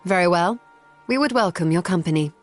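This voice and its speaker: A woman's voice: lowish voice, lively intonation, a little fast, soft background music, natural voice. A woman acting a poised, formal and courteous leader in a video game cutscene. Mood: courteous, resolute.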